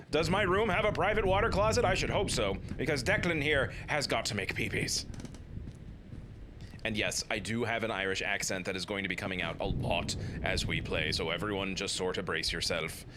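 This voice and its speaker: A man's voice: medium pitch, fairly quick, noisy background. A man in his thirties hosting a let's play, joking and commenting casually as he plays. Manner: playful, jokey, slips into silly voices.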